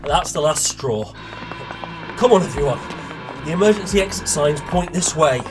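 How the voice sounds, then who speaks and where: A man's voice: on the high side, lively intonation, moderate pace, natural voice. A man playing an exasperated father urgently giving orders in an audio drama. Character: exasperated, bossy father leading an escape. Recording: distant mic under loud music.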